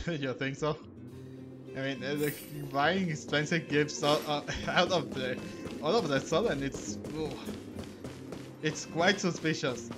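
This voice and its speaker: A man's voice: on the high side, takes it slowly. A man giving casual video game commentary and reading on-screen text aloud. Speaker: gamer streaming a playthrough. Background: loud game music.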